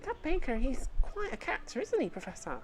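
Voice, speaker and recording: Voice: sits high, huge pitch swings, fairly quick, slight background noise, voice pushed up. A video game player voicing a polite, eager young boy apprentice in an earnest manner.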